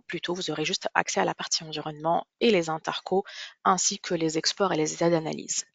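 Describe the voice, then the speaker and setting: A presenter giving a software demonstration in a webinar, explaining step by step in a halting way, often repeating and rephrasing. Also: medium pitch, steady tone, creaky, husky, no echo. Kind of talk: product demonstration webinar, semi-scripted explanation.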